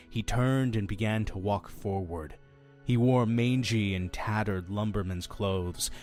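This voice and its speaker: A man playing a fussy, sardonic innkeeper who tells dark horror tales in a theatrical, deliberate way for an audio drama. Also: fairly low pitch, lightly acted. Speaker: storytelling host of a horror anthology.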